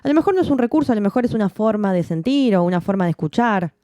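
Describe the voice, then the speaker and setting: A woman, a jazz singer co-hosting a podcast, talking in a relaxed, reflective way with frequent restarts. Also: mid-range voice, very fast, no room sound. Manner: reflective, many restarts and "¿no?" tags. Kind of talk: casual chat.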